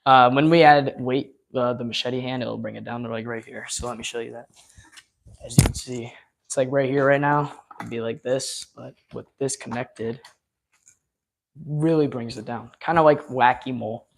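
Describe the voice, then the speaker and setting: A man's voice: medium pitch, steady tone, normal speed, creaky, a little hiss or hum. A man hosting a casual live unboxing stream, rambling with lots of fillers and losing his voice from talking so much. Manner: rambling, filler-heavy, enthusiastic.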